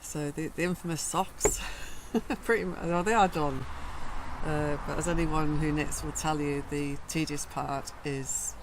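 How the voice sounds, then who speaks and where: A woman vlogging casually from her garden greenhouse, her voice croaky after a cold, chatting in a rambling way with frequent ums. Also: low-pitched, steady tone, measured pace, background noise. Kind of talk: casual gardening vlog chat.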